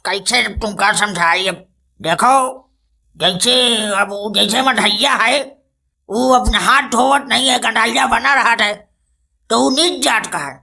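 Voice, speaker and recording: Woman playing a creaky, high-pitched stubborn old grandfather. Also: a little slow, bright, forceful tone, exaggerated voice. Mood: exasperated. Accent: eastern Uttar Pradesh. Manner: scolding, rustic, emphatic. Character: stubborn, hot-tempered old Brahmin grandfather. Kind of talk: cartoon comedy acting.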